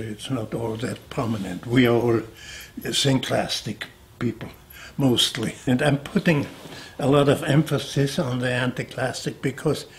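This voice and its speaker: An older man from Austria, a sculptor answering interview questions in a slow, deliberate way with pauses and restarts, seated indoors. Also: medium pitch, slight background noise. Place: indoor studio room.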